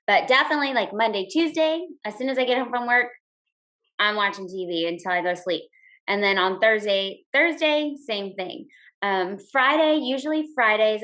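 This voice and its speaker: Upbeat, relaxed woman guest. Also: mid-range voice. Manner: relaxed, upbeat, lots of "like" and "you know". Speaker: guest, teacher and local blogger. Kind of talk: casual interview chat.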